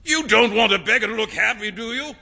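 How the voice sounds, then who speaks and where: A man voicing a loud, witty male portrait painter in a storybook reading, with an emphatic, theatrical manner. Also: high voice, a little fast, vocal fry, breathy edge, big-room sound, voice pushed up, heavily acted. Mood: boisterous.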